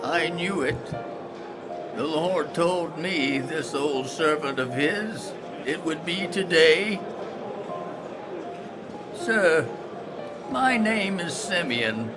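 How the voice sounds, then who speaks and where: An aged, devout prophet in a Bible radio play. He declaims reverently and very unhurriedly, in a fairly high male voice, with music clearly behind him. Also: takes it slowly, long pauses, rough recording. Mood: joyful awe. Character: devout aged Simeon, solemn, awed prophet of the temple. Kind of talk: Bible audio drama acting.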